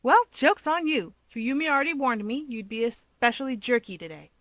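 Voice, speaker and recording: A woman's voice: fairly high pitch, expressive, normal speed, a bit bright, dry recording, pitched above the performer's own voice. A woman voicing a playful, stubborn, easily riled young winged hero, insistent and teasing, in an audiobook. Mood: stiff, irritated. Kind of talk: fan fiction audiobook dialogue.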